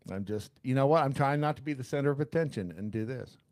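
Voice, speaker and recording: A man's voice: mid-range voice, fast, a little mellow, no room sound. A man co-hosting a show in casual banter, reading comments aloud. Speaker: show co-host.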